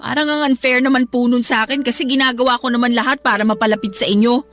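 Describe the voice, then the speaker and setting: A put-upon young Filipino mother acted by a woman in a radio drama, with a fairly high voice over faint music. She is polite and hesitant, yet defensive. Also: steady tone, quick pace, a bit bright, lightly acted. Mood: hurt, protesting. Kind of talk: Tagalog radio drama acting.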